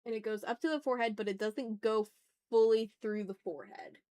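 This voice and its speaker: High-voiced woman chatting on an art stream. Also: steady tone, a little fast, warm tone, no echo. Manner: informal, slangy, muttering to herself; playfully dramatic, exasperated asides. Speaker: art livestreamer, host. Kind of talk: casual chatty livestream while drawing.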